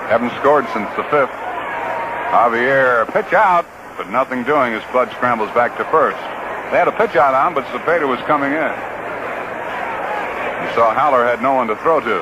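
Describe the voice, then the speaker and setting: A man's voice: medium pitch, expressive, normal speed. Noisy vintage radio baseball announcer, American man. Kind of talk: live radio baseball play-by-play commentary. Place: outdoor ballpark broadcast booth.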